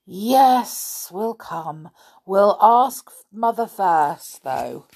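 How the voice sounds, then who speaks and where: A woman's voice: medium pitch, big pitch swings, extremely slow, gravelly, darkish tone, slightly rough, full character voice. A woman voicing an earnest, polite, easily exasperated young boy in a children's storybook. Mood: resigned.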